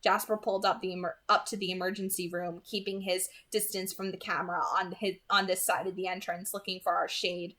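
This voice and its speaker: A woman's voice: on the low side, flat delivery, a little fast, hardly any pauses, pushed and bright. A young adult woman reading a novel aloud as an amateur and commenting on it casually.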